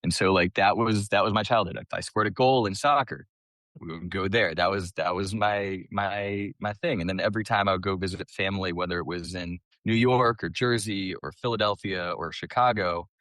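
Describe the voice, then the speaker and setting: A man's voice: sits low, steady tone, moderate pace, a bit bright, no echo. A man from the US East Coast telling his story casually as a podcast guest, chatty and colloquial. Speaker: podcast guest, small business owner.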